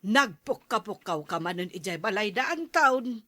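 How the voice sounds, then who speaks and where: A woman's voice: medium pitch, animated, moderate pace, bright, forceful tone, no room sound, clean studio sound. A woman with an Ilocano accent playing an exasperated mother who scolds a drunkard in an audio drama. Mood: scolding. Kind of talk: Ilocano radio-style drama acting.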